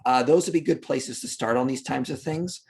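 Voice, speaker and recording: Guy podcast guest, kinda deep-ish husky voice, argues his points hard, explaining history unscripted. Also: moderate pace, a little mellow. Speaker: interview guest discussing history.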